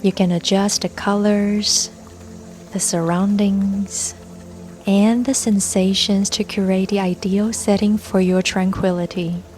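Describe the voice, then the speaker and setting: A woman leading a scripted guided meditation in a gentle, soothing, unhurried manner. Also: medium pitch, little pitch movement. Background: soft music and babbling brook sounds.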